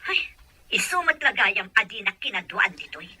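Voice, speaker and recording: A woman's voice: mid-range voice, sing-song, moderate pace, pushed and bright, husky, heavily acted. A woman playing a jealous, easily offended partner in a serial drama. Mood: irritated. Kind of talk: Ilocano radio-style serial drama acting.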